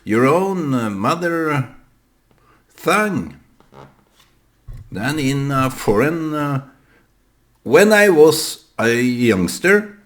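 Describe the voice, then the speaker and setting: A man with a Norwegian accent, a native Norwegian speaker, talking to the camera in a reflective monologue, halting and full of fillers and restarts. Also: a little high, sing-song. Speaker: vlogger.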